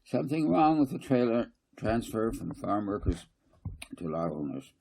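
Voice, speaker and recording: Man reading as grumbling, worried land developer, husky. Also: fairly low pitch, big pitch swings, normal speed, darkish tone, pitched above the performer's own voice, exaggerated voice.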